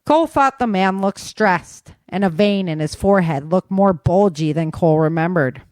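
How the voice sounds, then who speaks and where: A woman's voice: on the low side, animated, moderate pace, a little mellow, no echo. A woman reading scripted fiction as the narrator of an audio story.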